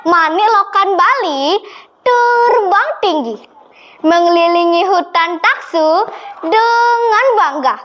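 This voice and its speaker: Very slow, high-voiced girl storyteller. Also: dull audio. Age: child. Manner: slow, deliberate, expressive delivery. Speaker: storytelling contest participant. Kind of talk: dramatic children's storytelling.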